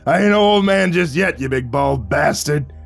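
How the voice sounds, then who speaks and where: A man playing a booming gangster boss. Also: animated, moderate pace, creaky. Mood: boisterous. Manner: booming, chummy, street slang. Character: big jovial foul-mouthed male gangster boss. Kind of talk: audiobook character voice. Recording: music behind the voice.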